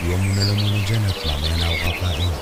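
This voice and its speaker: A man playing a weary but hopeful middle-aged father in an audio drama, gently reassuring. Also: low voice, racing, very noisy, natural voice. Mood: weary, calm. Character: weary, hopeful father at his sick wife's bedside. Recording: loud music laid under the voice.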